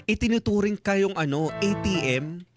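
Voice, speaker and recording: A man's voice: high voice, normal speed. A Filipino man co-hosting radio, giving earnest, sympathetic advice in casual talk with frequent tag questions. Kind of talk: casual advice talk, mixing Tagalog and English. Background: music bed with guitar or instruments.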